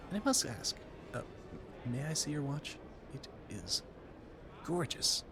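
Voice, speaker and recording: A man playing a polite, flustered gentleman at a Gilded Age ball in an audio roleplay, effusive and rambling, stammering through formal talk. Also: mid-range voice. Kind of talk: audio roleplay addressed to the listener.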